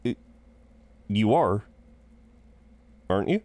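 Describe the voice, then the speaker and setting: A man's voice: fairly low pitch, big pitch swings, slow, darkish tone, no echo. A man playing a flirtatious, chatty incubus innkeeper in a roleplay audio drama, welcoming and rambling with hesitant asides. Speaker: innkeeper at a front desk.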